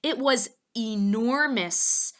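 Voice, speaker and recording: Lady teacher reading a kids book out loud, bright n slow, chats to the kids as she goes. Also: on the high side. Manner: expressive, addresses young listeners directly. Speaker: teacher reading aloud to children. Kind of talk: children's storybook read-aloud with asides.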